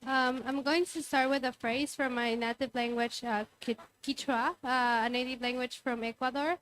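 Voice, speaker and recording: A woman interpreting a fellow activist's statement into English, speaking in halting phrases with many fillers. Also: high-pitched, fairly even intonation, a bit bright, a little hiss or hum, poor audio. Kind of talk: consecutive interpretation.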